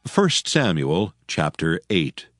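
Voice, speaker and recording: A man narrates a dramatized audio Bible in a low voice with lively rises and falls. He is solemn and measured, with faint music behind him. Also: no room sound, studio quality. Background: faint background music.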